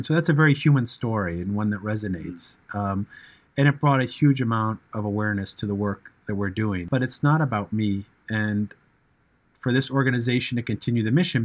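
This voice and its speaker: A middle-aged American man, a charity founder, speaking earnestly and in measured phrases as a guest in a podcast interview, with frequent fillers. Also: lowish voice. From United States.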